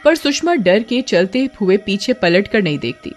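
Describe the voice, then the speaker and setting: A woman's voice: mid-range voice, expressive, moderate pace, loud music behind the voice. A woman storyteller giving a dramatic scripted narration of a horror tale.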